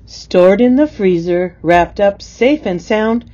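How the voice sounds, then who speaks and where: Slow, dull-sounding woman reading verse. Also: mid-range voice, expressive, warm tone. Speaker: poem reader. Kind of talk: reading rhyming poem aloud.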